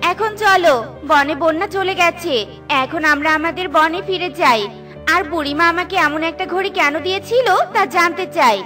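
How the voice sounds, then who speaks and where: A kind, sensible little bird from a children's cartoon , acted by a woman. The voice is very high and bright, gently scolding, with light music behind. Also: normal speed.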